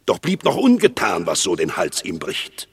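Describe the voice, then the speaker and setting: An actor playing a proud, scheming enemy general in a classical verse radio drama, brooding and measured. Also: male voice, deep voice, huge pitch swings, slightly rough, heavily acted.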